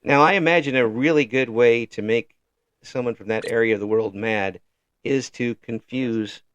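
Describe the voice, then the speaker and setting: A man's voice: mid-range voice, a bit bright, dry recording, highs cut off. A man with an American accent interviewing in a casual chat, joking and feeling his way through questions. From United States. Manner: jokey, halting questions.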